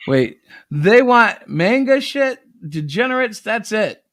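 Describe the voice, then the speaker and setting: Man playing sweary hotshot writer, easily outraged, very animated pitch, dry close sound, audio drama. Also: slow, dry recording, heavily acted. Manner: ranting, sweary, indignant. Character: defensive, foul-mouthed hotshot male screenwriter, easily outraged. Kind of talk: dramatic reading of a story.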